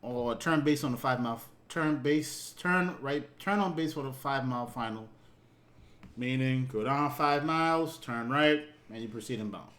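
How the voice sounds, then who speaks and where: A man giving an informal, halting reaction commentary, demonstrating controller phraseology as he explains it. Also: medium pitch, a bit bright. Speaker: air traffic controller reacting to a video.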